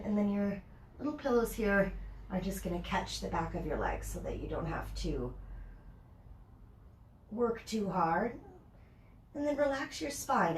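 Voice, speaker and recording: A woman with a low voice that has a gravelly edge leads a yoga class. She is stuffed up with a summer cold, and she rambles in a friendly way before moving into slow, calming cues. She sounds a bit distant, with room echo around her. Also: a little hiss or hum. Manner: chatty and rambling, then slow and soothing cues. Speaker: yoga teacher. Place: home, near a porch patio set. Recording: echoey room from off the mic.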